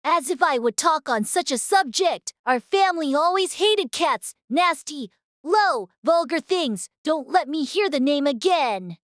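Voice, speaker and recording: A woman voicing a touchy, indignant little male mouse with a shrill, passionate delivery in a dramatized audiobook. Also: animated, measured pace, dry recording, studio quality. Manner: passionate, easily offended. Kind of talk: dramatized audiobook character dialogue.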